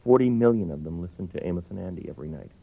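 A man's voice: low voice, expressive, rapid-fire, gravelly, warm tone, no echo. An American man with an American accent giving expert interview commentary on a dull interview tape. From United States. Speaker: radio history expert.

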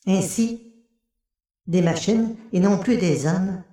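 A woman playing a calm young guide in an audio drama, explaining history in a measured way. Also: fairly low pitch. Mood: calm, explanatory. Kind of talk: French audio fiction acting. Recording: very echoey, reverberant room.

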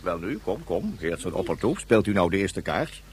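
An actor playing a pompous ceremonial game master in a radio play, with formal, theatrical proclamations. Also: male voice, low voice, sing-song, normal speed. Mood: stern, impatient. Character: pompous ceremonial game master addressing a ruling card champion.

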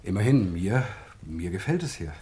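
An actor playing a genial, courteous country husband with a wry, slightly hesitant manner in a German radio play. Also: male voice, sits low, very animated, normal speed, mellow, slight background noise.

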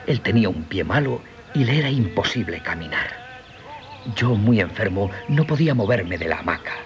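An actor playing an earnest, polite and sickly young would-be sculptor in a Spanish radio play, with a Castilian accent and hesitant delivery. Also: male voice, on the low side, very animated, moderate pace, muffled recording. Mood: absorbed, narrating. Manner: polite, hesitant, deferential storyteller. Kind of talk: 1970s Spanish radio theatre acting. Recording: 1970s radio drama with music.

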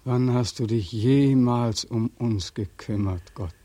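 Casting: despairing young ex-soldier, mellow husky voice, anguished and sardonic, for vintage radio drama in a dry studio. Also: male voice, mid-range voice, takes it slowly, no echo. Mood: accusing, bitter. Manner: anguished, accusing, sardonic, rhetorical repetition. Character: bitter, despairing young war veteran, dying in the street. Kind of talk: 1940s German radio drama acting.

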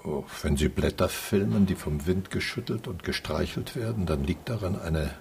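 A man's voice: sits low, lively intonation, normal speed, a little mellow, a little hiss or hum. An actor in a radio drama playing a philosophical man who muses earnestly and reflectively. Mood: musing. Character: musing, philosophical man pondering truth, time and nature.

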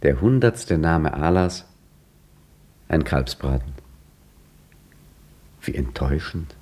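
An older actor playing an old caretaker and storyteller, dry and ironic, in a 1950s radio drama. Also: male voice, a bass voice, expressive, slow pace, darkish tone, a little hiss or hum, heavily acted. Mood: wry teasing. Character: old male caretaker, wry wise storyteller. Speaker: caretaker telling a tale.

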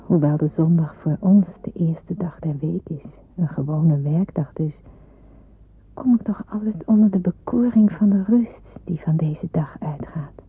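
A very breathy, soft young woman in a wartime radio drama. She is gentle and reflective, speaking with quiet restraint. The old recording is narrow and tinny, like a telephone. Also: low-pitched, unhurried, exaggerated voice. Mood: dreamy, calm. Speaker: narrator and lead character of a radio drama. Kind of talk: first-person radio drama narration and dialogue.

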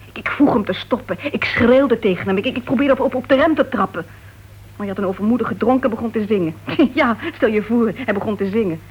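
A woman's voice: fairly high pitch, a little fast, breathy edge. An actress playing a bitter, guarded woman with a painful past in a Dutch radio play, speaking in a terse, weary, restrained manner. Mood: agitated, bitter. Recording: dull, muffled old radio recording.

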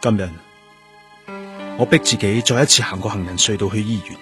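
A man's voice: lowish voice, steady tone, a little fast, fairly bright tone, natural voice. A man acting a grieving, introspective police detective in a Cantonese radio drama, with a Hong Kong accent and guitar music behind him. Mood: sombre, grieving. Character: brooding, grieving male police detective haunted by loss. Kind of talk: radio drama acting with first-person narration. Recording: music under the voice.

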